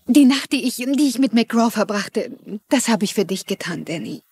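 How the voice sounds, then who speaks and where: A woman's voice: on the high side, animated, moderate pace, a little mellow, husky. A woman playing an anxious, needy accomplice in a German TV drama dub. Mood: anxious, pleading. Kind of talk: German TV dubbing.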